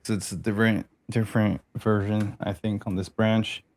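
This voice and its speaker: A man demonstrating code on a livestream call, talking casually and halting, repeating words as he works. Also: on the low side, flat delivery. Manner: halting, repeats words while working on screen. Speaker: guest developer. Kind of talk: casual tech chat while demoing code.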